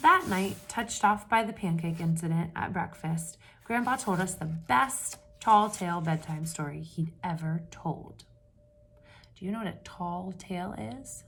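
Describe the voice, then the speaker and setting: A woman reading a picture book aloud to children with lively expression, pausing to ask her listeners questions in hushed asides. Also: lowish voice, slight background noise. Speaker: read-aloud storyteller for children.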